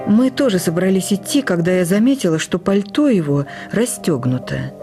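A woman reading a memoir for radio as expressive, intimate literary narration. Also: fairly low pitch, normal speed, music in the background.

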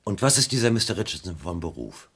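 An actor playing a persistent, wry police detective in a German radio crime drama, questioning and recounting in a dry, conversational way. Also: male voice, low voice, big pitch swings, quick pace, fairly bright tone, husky, heavily acted. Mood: probing.

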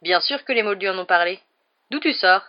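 A woman's voice: medium pitch, lively intonation, fairly quick, natural voice. A smug young male bus conductor from a storybook, as played by a woman narrator. He is chatty and dramatic, forever fishing for agreement with little tag questions. The sound is slightly muffled. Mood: smug, mocking.

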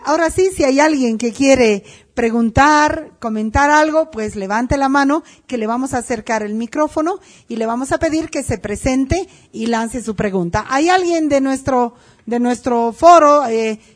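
Lively woman moderating a forum. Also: high voice, moderate pace. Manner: direct, challenging, lively.

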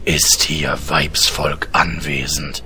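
An actor playing a grave, commanding orc war chief in a comedic fantasy audio drama, with a slow, forceful, gravelly growl. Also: male voice, a bass voice, flat delivery, a little hiss or hum, exaggerated voice. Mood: stern.

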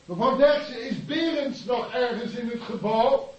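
A man's voice: very high-pitched, unhurried, breathy edge, exaggerated voice. An actor playing a chatty, teasing night porter at an office switchboard in a radio play. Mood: calling out. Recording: muffled radio play recording.

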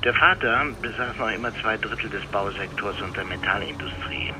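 An actor playing a cautious, exacting police deputy in a radio crime drama, explaining precisely in formal wording. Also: male voice, on the low side, a little fast, noisy background, lightly acted. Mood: explanatory, dry.

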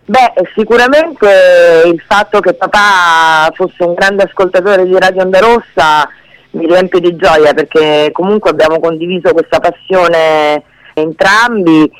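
A woman with a clear, smooth, rather low voice. She talks as a guest author in an informal radio interview, candid and conversational, in a dry studio sound. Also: measured pace, no echo, low-quality recording.

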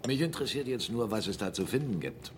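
An actor playing a brisk, ambitious captain in a radio drama, commanding and decisive in manner. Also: male voice, on the low side, lively intonation, noisy background, natural voice. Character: brisk, ambitious male captain used to giving orders. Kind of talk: German radio drama acting.

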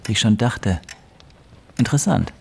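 An actor playing a deferential, astute middle-aged law clerk, polite, precise and discreet, in a radio play. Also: male voice, fairly low pitch, animated, moderate pace, creaky, noisy background. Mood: intent, analytical. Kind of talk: German radio play dialogue.

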